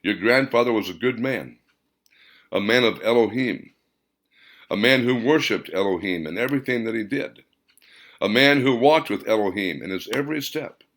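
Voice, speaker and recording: A man's voice: on the low side, moderate pace, a bit bright. A man voicing a solemn, otherworldly male spirit in an audiobook, speaking formally and reverently. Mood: solemn greeting. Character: solemn male spirit voice inside a wave of energy.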